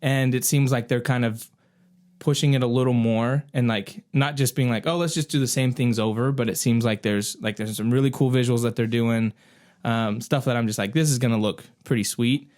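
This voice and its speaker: A man's voice: mid-range voice, steady tone, moderate pace, a little mellow. A man hosting a casual pop-culture podcast, chatting in an informal, conversational way. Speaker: podcast host.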